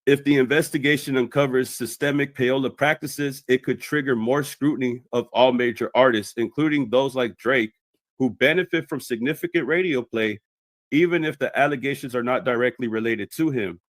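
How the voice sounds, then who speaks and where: A man's voice: mid-range voice, moderate pace, no room sound. A man delivering scripted explanatory commentary as a video commentator.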